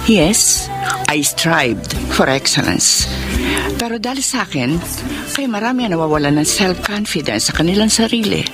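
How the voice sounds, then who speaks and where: An actress playing a harsh, demanding female film company boss in a Filipino radio drama, with a Filipino accent and an imperious, sharp manner. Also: fairly low pitch, very animated, moderate pace. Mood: reflective, confessing. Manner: imperious, sharp, switches between Tagalog and English. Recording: music bed under the voice.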